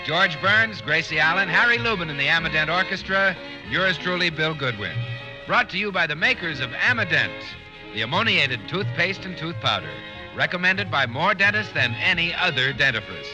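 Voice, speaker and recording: A man announcing credits and sponsors on a 1950 radio comedy in a smooth, upbeat delivery. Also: a little high, lively intonation, moderate pace, poor audio. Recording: old radio transcription with music.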